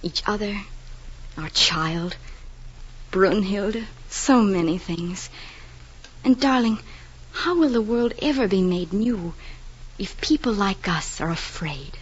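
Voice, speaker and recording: An actress playing a steadfast, teasing, loving young wife in gentle, earnest 1940s radio drama style. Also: female voice, medium pitch, lively intonation, slow pace, long pauses, husky. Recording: dull old 1940s radio broadcast.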